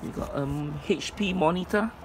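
A man giving an informal, halting item-by-item walkthrough as a presenter at a storage unit. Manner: halting, piecemeal delivery. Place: storage facility, at open unit. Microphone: handheld phone camera, speaker behind it. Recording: noisy handheld recording, traffic-like rumble.

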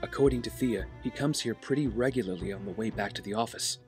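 A man's voice: lowish voice, quick pace, a little mellow, faint music behind, close to an everyday voice. A man playing an earnest, burdened young vigilante leader in an audio drama, serious and sincere. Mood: focused, resolute. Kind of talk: naturalistic audio drama acting.

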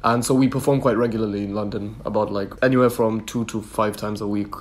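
A man from Kenya speaks in a low, even tone that rarely climbs. He sounds relaxed and thoughtful, and often pads his sentences with "you know" as he talks about his craft. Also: fast. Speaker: musician guest. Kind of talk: interview answers.

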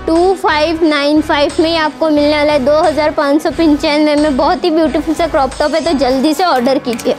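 A woman's voice: sits high, fairly even intonation, a little fast, hardly any pauses, over music. A young woman presenting outfits in a shop, with upbeat and repetitive sales patter, recorded on a lapel mic. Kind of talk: product showcase sales pitch. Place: indoor shop with green wall.

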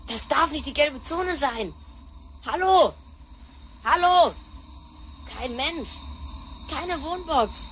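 An actress playing a brash, spoiled, jumpy young granddaughter from the future in a sci-fi radio play, slangy and excitable. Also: female voice, high voice, slow pace, pauses often, a little hiss or hum, heavily acted. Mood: alarmed, then frantic, excited. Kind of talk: sci-fi radio drama acting.

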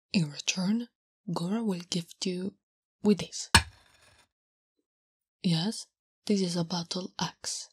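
Woman voicing a slow, breathy big orc woman. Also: lowish voice, no echo, heavily acted. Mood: touched, shy. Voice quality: breathy, half-whispered. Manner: halting, soft-spoken, simple broken speech in third person. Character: big strong orc woman, gentle, naive, bashful warrior. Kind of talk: ASMR roleplay.

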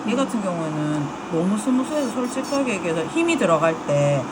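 A woman's voice: on the low side, big pitch swings, brisk, heavy background noise. A woman nail technician with a regional Korean dialect, explaining a drill demo in chatty, explanatory talk. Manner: chatty, explanatory, soft-spoken while working.

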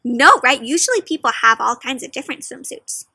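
Bright, high-voiced young woman reading to children. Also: a little fast, creaky, breathy edge, no room sound, clean studio sound. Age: young adult. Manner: animated teacherly questioning, addressing young children directly. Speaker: children's read-aloud host. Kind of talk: interactive picture book read-aloud for young children. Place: outdoors in a leafy garden.

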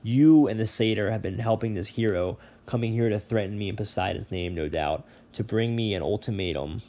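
Guy doing a furious underworld god, bellowing, kinda put-upon, low voice. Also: fairly quick, mellow, natural voice. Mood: menacing. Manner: bellowing, harried and threatening. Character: furious aggrieved male god of the dead. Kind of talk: audiobook reading.

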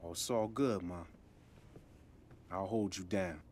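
A swaggering street rapper. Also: male voice, low voice, slow, slight background noise. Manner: street slang, swaggering, animated. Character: young man, streetwise hip hop artist, cocky. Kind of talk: video game cutscene acting.

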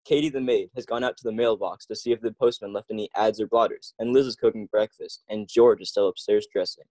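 A man's voice: fairly low pitch, steady tone, fast talker, darkish tone, no room sound, low-quality recording. A man announcing a scripted old-time radio comedy as its narrator.

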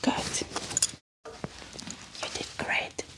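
A woman doing a soft-spoken ASMR medical roleplay as a doctor, often whispering, with gentle, reassuring step-by-step instructions. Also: very slow. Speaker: ASMR performer playing a doctor.

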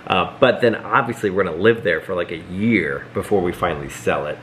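A man in his thirties giving a quick, upbeat house tour and chatting at home. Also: deep voice, a bit bright, a little hiss or hum. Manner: quick, upbeat, rambling with restarts. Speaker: vlog co-host. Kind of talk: casual house tour and chat. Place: living room at home, indoors.

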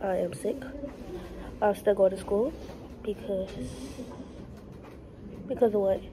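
A woman's voice: mid-range voice, fairly even intonation, takes it slowly, warm tone, slight background noise, low-quality recording. A young woman with a stuffed-up nose, chatting and joking as she vlogs her school day into a phone held at arm's length. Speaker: vlogger. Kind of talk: casual school vlog. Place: classroom.

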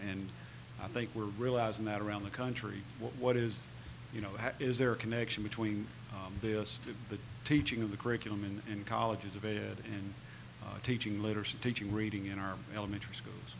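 An older man with an American accent making halting, unscripted remarks as a conference panelist at a table microphone. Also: lowish voice, level tone, gravelly. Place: large conference ballroom stage. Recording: live conference PA with faint room noise.

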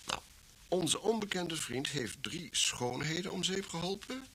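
An actor in a Dutch radio drama playing an excitable, chatty detective who repeats himself as he theorises. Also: on the high side, a little slow, a little hiss or hum. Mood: speculative, animated. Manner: hesitant repetitions, conversational.

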